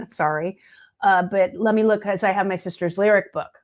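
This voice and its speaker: A woman's voice: mid-range voice, normal speed. A woman in her late fifties chatting casually and telling anecdotes, acting out what other people said. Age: late 50s. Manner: chatty, anecdotal, acts out quoted dialogue. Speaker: guest, singer and video editor. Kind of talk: casual chat, storytelling.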